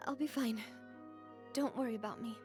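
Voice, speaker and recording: A high, youthful female game heroine played by a woman, kind but grieving. She speaks in earnest, measured phrases, keeping a brave face as a leader. Music plays behind her. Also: steady tone, a little mellow, lightly acted. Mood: weary. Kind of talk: dramatic video game voice acting.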